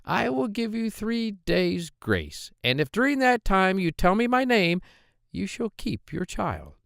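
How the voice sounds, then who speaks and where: A man voicing a droll, sly little dwarf in a storybook, with a high, lilting, wheedling voice. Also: sing-song, measured pace, gravelly, fairly bright tone, no room sound, studio quality, exaggerated voice. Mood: smug. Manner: sing-song, wheedling, theatrical. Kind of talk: storybook character voice.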